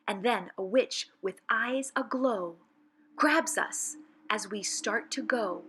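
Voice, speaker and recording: A woman reading children's poetry aloud in an expressive, theatrical and suspenseful way, with deliberate phrasing. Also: mid-range voice, a little hiss or hum. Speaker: children's read-aloud presenter.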